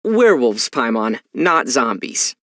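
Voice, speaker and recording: Game lead: youthful male traveler, composed, articulate, gently wry, mid-range pitch, dry recording. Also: big pitch swings, unhurried, no echo. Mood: amused, teasing. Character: young male traveler hero, calm, thoughtful, gently wry. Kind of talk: anime-style video game voice acting.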